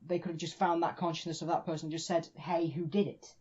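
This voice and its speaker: A man's voice: on the high side, flat delivery, fast talker, a little mellow. A man co-hosting a podcast, casually debating a TV show and often restarting his sentences. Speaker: podcast co-host. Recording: dull lo-fi sound.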